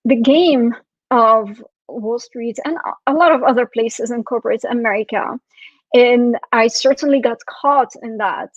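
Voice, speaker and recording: Soft-spoken woman, dull dry recording. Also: on the high side, measured pace, dry recording. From France and Syria. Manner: soft-spoken, reflective, many fillers. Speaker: expo speaker, spiritual coach. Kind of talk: online expo talk.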